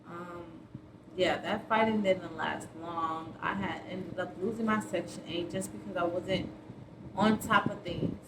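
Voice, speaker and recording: A woman in her early twenties telling a personal story in a casual, slangy, rambling way. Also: fairly low pitch. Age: early 20s. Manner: informal, slangy, conversational. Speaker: vlogger. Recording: roomy echo, clearly noisy background.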